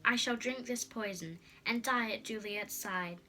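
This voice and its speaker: A woman's voice: fairly high pitch, unhurried, sharp tone, faint music behind. A woman voicing a heartbroken, resolute young man in love in a storybook, solemn and impassioned.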